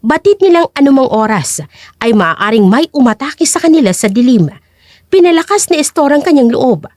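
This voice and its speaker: A woman's voice: sits high, sing-song, normal speed, darkish tone, no echo, studio quality. A woman narrating an audio drama in a scripted, dramatic storytelling style.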